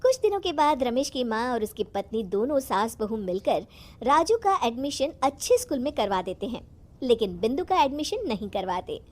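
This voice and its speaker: A woman with a high voice narrates a moral tale. Her delivery is scripted storytelling, setting out events as a narrator. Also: big pitch swings, moderate pace.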